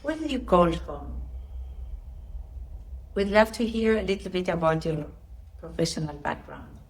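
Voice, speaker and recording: Female host, lowish register, courteous and formal, reading out prepared interview questions. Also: lively intonation, measured pace.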